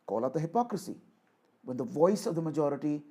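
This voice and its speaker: A man giving a rhetorical political speech in a declamatory, deliberate manner, repeating phrases for emphasis. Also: fairly high pitch, lively intonation, dark tone, slightly rough. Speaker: orator reading a voice sample.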